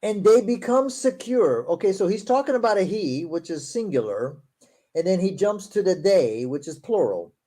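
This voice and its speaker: A man whose first language is Portuguese hosts a casual discussion. He reads passages aloud slowly and then adds quick, sarcastic remarks. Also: high-pitched, big pitch swings, warm tone. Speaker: show host.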